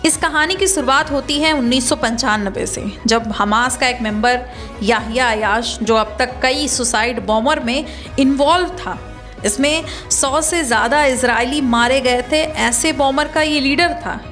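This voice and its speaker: A woman's voice: high voice, fairly bright tone. A woman presenting an explainer narration. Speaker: video presenter. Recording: music bed behind voice.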